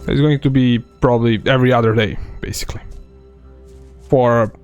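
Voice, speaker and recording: A man's voice: medium pitch, moderate pace, clean tone, muffled recording, soft background music. A young man from Serbia with a light Serbian accent, giving rambling live game commentary full of fillers. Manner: relaxed, rambling, many fillers. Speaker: game streamer.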